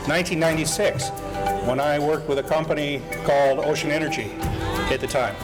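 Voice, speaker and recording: A man, a board member, giving a loose, informal speech at an event, with many pauses and fillers. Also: a little high, fairly even intonation, over loud music. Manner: halting, many ums and uhs.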